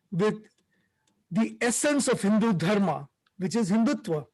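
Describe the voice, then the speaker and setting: A man with a notably high voice hosts a discussion podcast. He reads passages aloud, then comments on them in a halting, restarting way. His talk is full of fillers. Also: poor audio. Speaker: podcast host. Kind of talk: commentary reading an article aloud.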